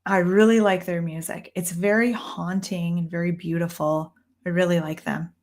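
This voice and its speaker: A woman's voice: on the low side, fairly even intonation, normal speed, darkish tone. A young Canadian woman with a Canadian accent hosting a casual tarot Q&A livestream from a room at home, thinking aloud with frequent ums and restarts. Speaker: tarot reader and livestream host.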